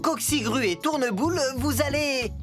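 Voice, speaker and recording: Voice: high voice, lively intonation, normal speed, music in the background. An actor playing an eager, muddled young male apprentice builder in a French cartoon dub, hesitant and scatterbrained. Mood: flustered, searching. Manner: scatterbrained, hesitant while searching his notes.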